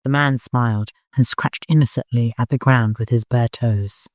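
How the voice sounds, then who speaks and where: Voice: medium pitch, normal speed, no room sound, clean studio sound. A narrator reading literary fiction from a script as an audiobook.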